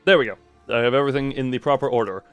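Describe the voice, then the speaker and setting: A man's voice: mid-range voice, racing, smooth. Rambling man streaming over game music. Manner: rambling, thinks aloud, stammers on word starts. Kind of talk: casual live-stream commentary, reading game text aloud.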